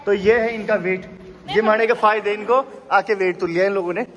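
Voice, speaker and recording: A man's voice: high voice, fairly even intonation, moderate pace, fairly bright tone. A man narrating a family vlog, teasing and coaching relatives on gym machines. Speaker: vlogger filming his family. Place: large indoor gym. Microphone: handheld phone camera. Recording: roomy gym with crowd chatter.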